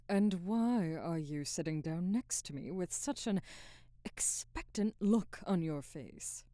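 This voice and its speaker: Woman acting a haughty, low-voiced middle-aged film star. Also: animated, no room sound. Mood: guarded, curt. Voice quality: soft, breathy, often near whisper. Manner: formal, measured, aloof phrasing. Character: haughty, guarded middle-aged Hollywood actress, softening. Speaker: ASMR voice actor. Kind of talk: ASMR roleplay, scripted dramatic monologue.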